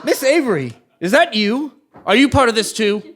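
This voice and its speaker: A man's voice: very high-pitched, expressive, moderate pace, heavy background noise. A man acting a pompous, sly king in a comic stage play, with a haughty theatrical delivery. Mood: puzzled, indignant.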